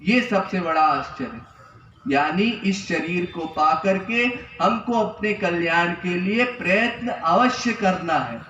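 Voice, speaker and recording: High-voiced man preaching in an echoey hall. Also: takes it slowly, bright, forceful tone, slight background noise. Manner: earnest, repetitive teaching with rhetorical questions. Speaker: spiritual preacher. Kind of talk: Hindi religious discourse. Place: temple hall. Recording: echoey, distant, dull lo-fi sound.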